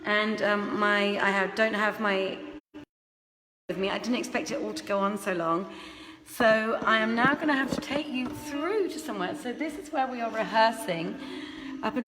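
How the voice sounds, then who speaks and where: A woman chatting casually to live-stream viewers, halting and thinking aloud as she busies herself. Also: medium pitch, echoey room, music in the background. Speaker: theatre performer hosting a livestream reading. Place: inside a theatre building, moving between rooms. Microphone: phone.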